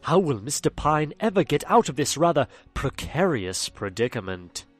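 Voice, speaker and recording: A man's voice: on the high side, very animated, normal speed, breathy edge, faint music behind. Hammy, theatrical male narrator. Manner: hammy, fourth-wall-breaking storyteller. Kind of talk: theatrical fan audio drama narration.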